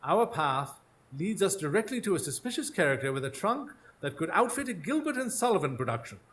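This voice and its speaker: A shrewd, theatrical Victorian detective played by a man in a radio play, recorded live with faint background noise. His fairly high male voice swings widely in pitch as he delivers formal, articulate deductions. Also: sing-song, a little fast, fairly bright tone. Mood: intense, brooding. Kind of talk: live radio drama acting. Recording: noisy live stage recording.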